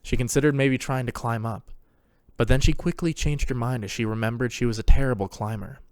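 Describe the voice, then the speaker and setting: A man's voice: medium pitch, brisk, darkish tone, clear, resonant tone, dry recording. Man narrating a story, very dry sound.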